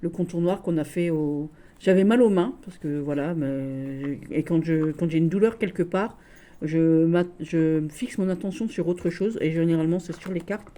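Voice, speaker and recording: A woman with a Lorraine accent, showing tarot decks in a casual video, gushing and halting with many restarts. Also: deep voice, warm tone. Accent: Lorraine French. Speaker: tarot deck reviewer. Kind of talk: casual tarot deck showcase.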